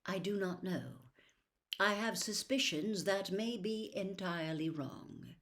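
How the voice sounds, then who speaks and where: Woman as elderly male wizard headmaster, storybook character, quiet, calm, slow, measured, grave. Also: mid-range voice, lightly acted. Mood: grave, thoughtful. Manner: measured, calm, gentle gravity.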